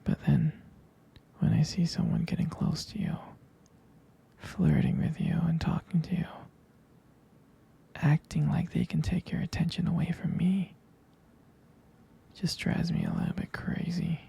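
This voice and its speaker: A man playing a tender, jealous, devoted boyfriend in an intimate ASMR roleplay, speaking haltingly in a breathy, husky whisper. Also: fairly high pitch, huge pitch swings, dark tone, exaggerated voice. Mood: jealous, hesitant. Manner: hesitant, intimate, halting delivery.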